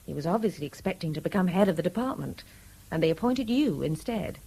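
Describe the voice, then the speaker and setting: An actress playing a sharp-minded, protective, indignant woman with a British accent, insistent and probing, in a radio drama. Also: lowish voice, lively intonation, fast talker, gravelly, husky, heavily acted, low-quality recording.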